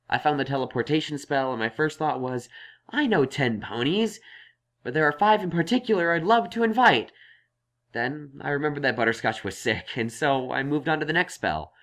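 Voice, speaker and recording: A man's voice: mid-range voice, animated, moderate pace, a bit bright, natural voice. Young stallion unicorn scholar, played by a man: earnest, explanatory, reassuring, middle register, for a fantasy audio story. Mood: explaining. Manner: thoughtful, explanatory. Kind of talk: acted audio story dialogue.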